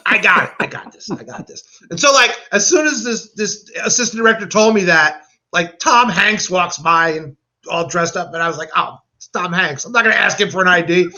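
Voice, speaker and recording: American man, high pitch, sharp bright tone, casual storyteller, acted-out quotes, podcast guest. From United States. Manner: animated storyteller who acts out quotes. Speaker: guest, animator. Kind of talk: casual anecdotal chat.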